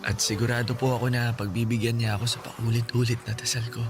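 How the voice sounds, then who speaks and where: An actor with a Filipino accent playing a gentle, brave, sickly young son in a radio drama, speaking respectfully and tenderly. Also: male voice, medium pitch, level tone, quick pace, over music, close to an everyday voice. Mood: pleading, hopeful. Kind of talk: Tagalog radio drama acting. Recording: clearly noisy ambient background.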